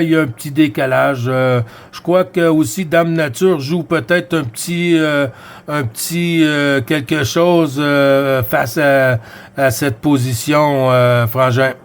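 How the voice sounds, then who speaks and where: A man's voice: on the high side, smooth. A man with a Québécois accent chatting over CB radio, using radio codes and many fillers. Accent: Québécois French. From Quebec. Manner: drawn-out, filler-heavy, uses radio codes. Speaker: CB radio operator. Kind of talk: casual CB radio chat.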